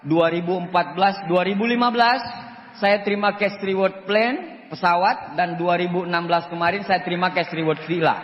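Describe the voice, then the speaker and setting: High-pitched guy in his 40s, cracks jokes and brags, big echoey hall, kinda dull muffled sound. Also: fairly quick, slight background noise. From Central Sulawesi, Indonesia. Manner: joking, boastful, emphatic, with rising pushes. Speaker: motivational speaker. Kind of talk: seminar talk to an audience. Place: large hall with audience.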